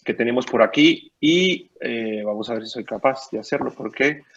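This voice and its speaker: A man's voice: medium pitch, normal speed, vocal fry, a bit bright. Male moderator, friendly, reads audience questions, muffled lo-fi line. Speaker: conference moderator.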